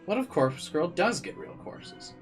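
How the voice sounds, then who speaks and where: A man's voice: high-pitched, wildly swooping pitch, a little slow. A man playing through a visual novel, reading the text aloud and adding casual commentary. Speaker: let's player. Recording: faint game music behind.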